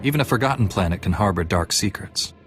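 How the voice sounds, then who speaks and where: An actor playing a confident, direct and helpful knightly hero in a sci-fi video game. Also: male voice, sits low, animated, fast talker, over music. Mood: resolute. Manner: direct, resolute. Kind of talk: video game dialogue acting.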